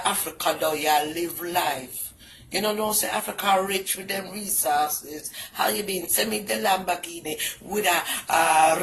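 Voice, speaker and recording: A woman's voice: fairly low pitch, a bit bright, a little hiss or hum. A middle-aged woman with a British Jamaican accent, doing a bawdy comic phone call with patois turns of phrase. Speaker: comedian. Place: indoors, small room. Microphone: phone camera close up, wired earphones.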